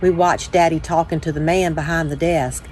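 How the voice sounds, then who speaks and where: A woman hosting a children's story time, reading aloud with measured, deliberate phrasing. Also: low-pitched, fairly even intonation, a little mellow, slight background noise. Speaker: church children's ministry director, story time host.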